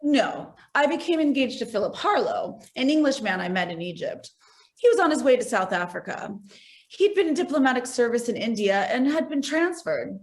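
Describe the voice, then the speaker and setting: An actress playing a self-possessed, wealthy society widow, poised and matter-of-fact in a stage comedy over a video call. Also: female voice, a little high, sing-song, normal speed.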